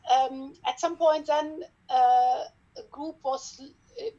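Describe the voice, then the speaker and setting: A woman's voice: sits high, steady tone, warm tone, muffled recording. A middle-aged woman with a German accent, a dermatologist explaining her field carefully as a podcast guest. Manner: careful, explaining step by step with pauses.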